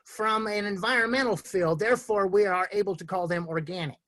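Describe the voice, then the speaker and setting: A man improvising a curt fast-food counter worker, rattling off menu items. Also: high voice, fairly bright tone, no room sound, pitched below the performer's own voice. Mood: impatient. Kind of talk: improv comedy.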